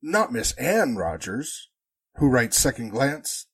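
A man acts out a chatty announcer from a 1940s radio play. He has a mid-pitched voice with very lively inflection and a joking, slightly tactless way of talking. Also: slow pace, slightly rough, full character voice. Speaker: actor in an amateur radio drama re-creation.

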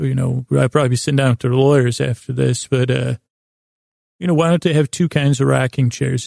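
Very creaky-voiced man rambling, flat pitch. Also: no room sound, studio quality. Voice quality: gravelly, creaky. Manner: meandering, halting, pauses and restates himself mid-thought. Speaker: podcast host. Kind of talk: rambling bedtime monologue to help listeners sleep.

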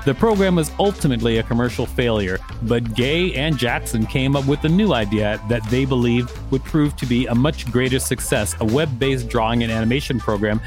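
A man's voice: medium pitch, brisk, hardly any pauses, faint music behind. A man co-hosting a podcast, reading an encyclopedia article aloud with casual asides. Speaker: podcast co-host and reader.

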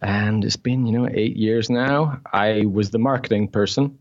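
Low-voiced man, mixed British-Canadian accent. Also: steady tone, normal speed, muffled recording. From Scotland. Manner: chatty, laughs often, many fillers. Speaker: guest. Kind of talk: podcast interview.

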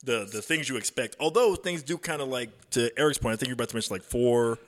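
A man's voice: medium pitch, fast. A man co-hosting a casual movie discussion, chiming in with jokes. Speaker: podcast co-host.

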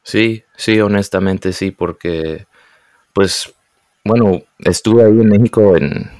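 A young man raised in the United States, speaking casually and often pausing to search for words. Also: fairly low pitch, a little mellow, no echo, clean studio sound. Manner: hesitant, searches for words, mixes in English. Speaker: guest. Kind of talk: casual conversation.

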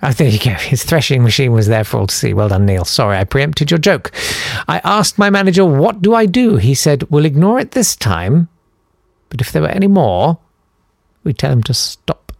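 A man chatting through listener emails with plenty of ums and asides, his pitch swinging freely as he jokes. The sound is close and dry, with no room around it. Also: expressive, moderate pace, vocal fry, no echo. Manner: rambling, jokey, lots of fillers. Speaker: podcast host reading listener emails. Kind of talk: casual chat.

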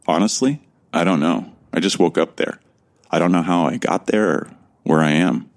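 An actor playing a bewildered, introspective amnesiac from Earth, telling his story in the first person in a measured, gravelly voice for an audio drama. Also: sits low. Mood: bewildered, earnest.

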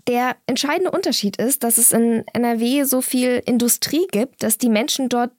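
A woman's voice: fairly high pitch, normal speed, darkish tone, no echo. Blunt young woman, dry close sound. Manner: opinionated, blunt. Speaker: interview guest. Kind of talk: conversational interview answers.

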